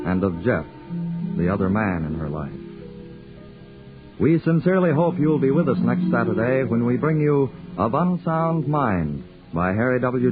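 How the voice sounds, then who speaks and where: A man reading closing credits and a next-week preview in a formal, polished 1940s radio announcing style. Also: mid-range voice. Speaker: network announcer. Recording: tinny 1940s radio broadcast with music.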